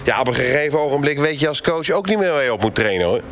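A man's voice: medium pitch, animated, quick pace, a bit bright, a little hiss or hum. A man playing an earnest, baffled sports coach who reasons aloud in a satirical radio interview. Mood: puzzled, resigned.

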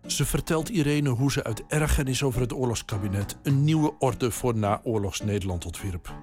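A man giving calm, measured scripted narration. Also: medium pitch, faint music behind.

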